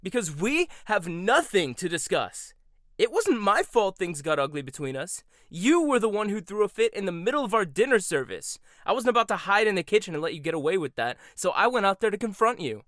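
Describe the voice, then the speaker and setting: Man as a combative young chef, lively sarcastic delivery, dry close sound. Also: high-pitched, a little fast, dry recording. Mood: defensive. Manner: sarcastic, confrontational. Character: proud combative young male head chef. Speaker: voice actor. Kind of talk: audio roleplay.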